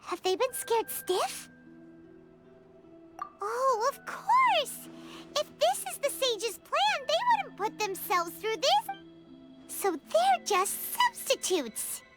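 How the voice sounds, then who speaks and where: A tiny fairy girl with an extremely high, childlike voice. Her delivery swings widely and is excitable and exclamatory, in anime game style, with music clearly behind her. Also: slow pace, long pauses, heavily acted. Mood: alarmed, excited. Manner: exclamatory, speaks of self in third person. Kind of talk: scripted anime game voice acting.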